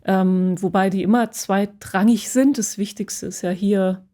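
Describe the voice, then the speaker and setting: A woman's voice: medium pitch, steady tone, a little slow, dark tone. A woman musician talking casually about her own experiences in an interview, reflective and searching for her words. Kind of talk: casual personal interview talk.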